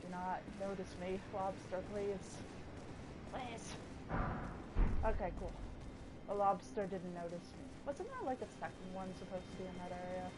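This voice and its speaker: A woman's voice: mid-range voice, expressive, normal speed, pauses often, very noisy. A woman rambling casually while playing a video game, swearing freely and breaking off with startled outbursts. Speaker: gaming video creator. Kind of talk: casual rambling commentary over gameplay. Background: game music.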